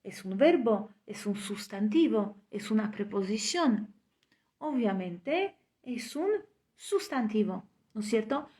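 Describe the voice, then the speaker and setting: A woman teaches a live online language class at an unhurried pace. Her voice sits a little high, and she keeps checking in with her students through short tag questions as she explains the grammar. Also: darkish tone. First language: Spanish.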